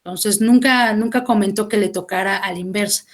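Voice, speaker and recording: A woman's voice: medium pitch, fairly quick. Mexican woman explaining at length. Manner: thoughtful, explaining at length with small restarts. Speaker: guest translator. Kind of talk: conversational class interview.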